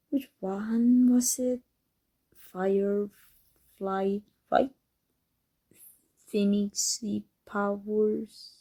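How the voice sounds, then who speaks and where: A prickly supervillainess, played by a woman in an ASMR roleplay audio drama. Her medium-pitched voice is soft-edged and mellow, with some huskiness. She delivers her barbs with theatrical, sardonic scorn, taunting her rival. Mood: teasing, mocking.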